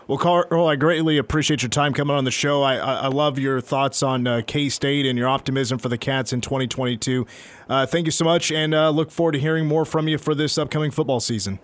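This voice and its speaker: A man hosting a sports talk radio show, chatty and rambling, his voice getting a little hoarse. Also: medium pitch, steady tone, brisk. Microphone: studio microphone.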